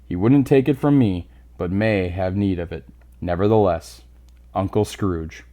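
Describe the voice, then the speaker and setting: A man voicing a merry, warm-hearted nephew making hearty toasts in a storybook reading. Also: deep voice, measured pace, narrow, tinny audio. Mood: warm, forgiving. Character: merry, laughing nephew, warm-hearted male party host.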